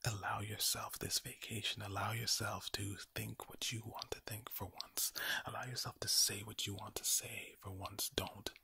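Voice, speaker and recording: A man doing ASMR affirmations in a low, breathy, villain-like voice, speaking deliberately and soothingly with repeated words. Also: little pitch movement, sharp tone, no room sound. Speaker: ASMR host. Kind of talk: ASMR, whispered slow affirmations.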